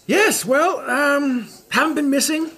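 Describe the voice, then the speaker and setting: A man's voice: very high voice, slow pace, bright, forceful tone, full character voice. An actor in a comedy podcast playing a washed-up middle-aged rock star, boastful and needy, stammering as he rambles matily. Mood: huffy, rambling. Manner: stammering, rambling, faux-matey.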